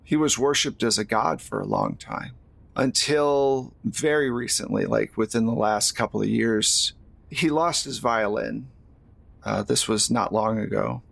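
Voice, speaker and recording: A man playing a solemn young man in a tabletop game, talking in a grave, measured storytelling way. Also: mid-range voice, animated, creaky, a bit bright, no echo, exaggerated voice. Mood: somber, explaining.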